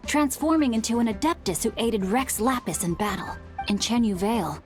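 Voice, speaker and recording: A woman plays a young scholarly boy with a mid-pitched voice for a video game. He speaks articulately and in a measured, explanatory manner, over faint music. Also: natural voice. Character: bookish, well-spoken young boy scholar.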